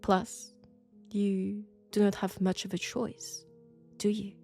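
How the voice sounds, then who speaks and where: A woman with a French accent playing an elegant, condescending vampire scholar in an intimate roleplay audio drama, speaking deliberately and seductively. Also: mid-range voice, flat delivery, gravelly. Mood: coaxing, menacing. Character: elegant, sophisticated, coolly curious female vampire scholar. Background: faint dark ambient music.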